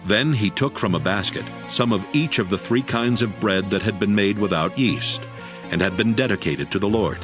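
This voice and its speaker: A man reading scripted scripture narration for an audiobook in a measured, solemn way. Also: fairly low pitch. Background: music.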